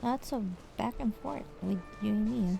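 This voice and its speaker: A woman's voice: a little high, moderate pace. A woman giving casual live commentary on a game, with offhand, muttered reactions. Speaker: game streamer. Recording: game music clearly behind.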